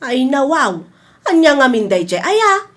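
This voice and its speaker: Elderly Filipino aunt, female, played by an actress, high-pitched, courteous and noncommittal, radio drama. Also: sing-song, a little slow, fairly bright tone, exaggerated voice. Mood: polite, evasive. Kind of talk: Ilocano radio drama acting.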